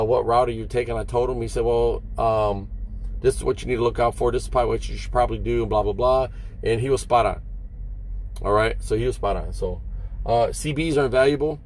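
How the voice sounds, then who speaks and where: A man's voice: medium pitch, a little mellow, a little hiss or hum. A middle-aged American man, a truck driver, talking casually to his vlog viewers in a folksy, rambling, conversational way.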